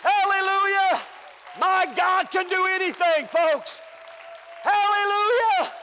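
High-voiced fervent man preaching in a hall. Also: steady tone, takes it slowly, a loud crowd, heavy background noise. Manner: preaching with shouted, fervent calls. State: losing his voice. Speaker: pastor. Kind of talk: sermon. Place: church hall.

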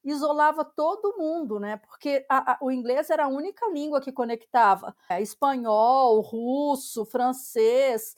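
A woman's voice: high voice, measured pace, few pauses. A Brazilian woman hosting a podcast, chatting casually and sharing her own experience. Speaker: podcast host. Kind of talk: casual interview conversation.

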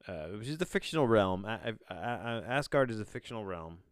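Low, smooth-voiced man rambling through a reading. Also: animated, fairly quick, no room sound, studio quality. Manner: rambling, self-interrupting, bemused; casual swearing. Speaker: podcast host. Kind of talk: casual solo podcast reading with rambling asides.

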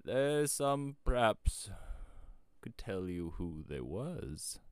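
A man's voice: on the low side, big pitch swings, a little mellow, smooth, dry recording, voice pushed up, full character voice. Man voicing a grave old rustic storybook shepherd. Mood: grave warning. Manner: rustic dialect speech, slow emphatic warnings. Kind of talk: storybook character voice.

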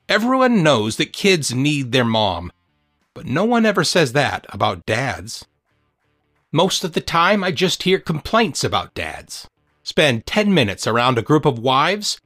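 A man narrating his own book as an author and podcast host, in an earnest, measured, motivational way. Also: medium pitch, a bit bright, no room sound, studio quality. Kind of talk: scripted audiobook narration.

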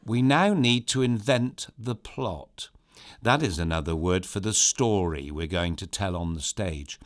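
A man's voice: deep voice, lively intonation, a little slow. A man hosting a children's story show, reading a script in a playful, theatrical and explanatory way. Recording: clear music behind voice.

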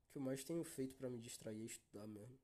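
A young man with a Brazilian Portuguese accent talks alone into his podcast mic, weary and downcast. His voice is dark and mellow, with a husky edge. He swears freely and keeps calling out to his listeners. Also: medium pitch, fast. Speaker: podcast host. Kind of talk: solo confessional podcast monologue.

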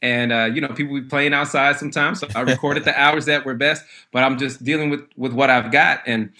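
A man's voice: mid-range voice, fairly even intonation, brisk, sharp tone. A man from Michigan, a guest a cappella artist, talking in an easygoing, rambling way with frequent restarts. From Lansing, Michigan, USA. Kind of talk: casual conversation.

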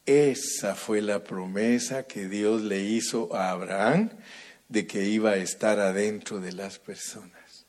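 A man preaches at an evening church service with a deliberate, unhurried delivery in a somewhat low voice. He stresses key words and builds into a fervent, rising prayer. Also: steady tone, a little mellow. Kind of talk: sermon and prayer.

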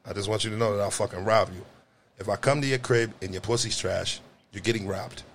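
A man hosting a podcast, joking crudely and loudly, swinging his voice high when he riffs. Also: steady tone, brisk. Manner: loud, profane, joking, swings pitch high when riffing. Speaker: podcast host. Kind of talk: crude comedic banter.

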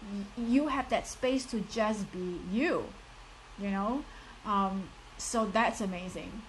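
A woman's voice: mid-range voice, takes it slowly, slight background noise, poor audio. A woman in her thirties with a strong Singaporean accent, a life coach giving a live motivational talk and speaking straight to her viewers, full of "like" and "you know". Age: 30s. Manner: direct and encouraging, addresses the audience, many "like" and "you know" fillers.

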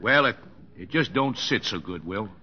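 Animated townsman, echoey. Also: on the low side, moderate pace, slightly rough. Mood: aggrieved. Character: aggrieved, plain-spoken rural townsman in hard times. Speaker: supporting actor in a radio drama. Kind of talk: old-time radio drama acting. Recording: echoey, muffled old radio with crowd behind.

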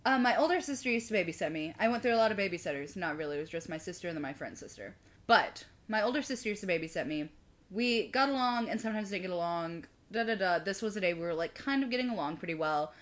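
A woman's voice: lowish voice, expressive, fast talker. A woman co-host shares a personal story in a casual, conversational way. Her humor is dry and self-deprecating, and she tells it as if chatting to a friend. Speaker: video co-host.

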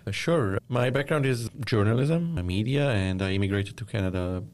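A man from Lebanon answering interview questions, speaking in a measured way and often pausing with "uh". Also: fairly low pitch, a little mellow. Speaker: guest, media company founder.

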